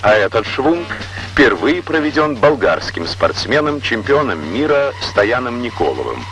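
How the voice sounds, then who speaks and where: A man reading scripted instructional narration in a formal, measured, didactic way, on an old Soviet educational film soundtrack. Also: medium pitch, big pitch swings, fairly bright tone, faint music behind.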